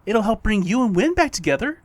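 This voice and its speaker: Audio drama role for an actor: mischievous non-human companion, chuckling and needling, mid-pitched with very animated delivery. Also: a little fast, no room sound. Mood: teasing. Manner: teasing, chuckling, exaggerated. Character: playful petty non-human best friend, teasing and theatrical.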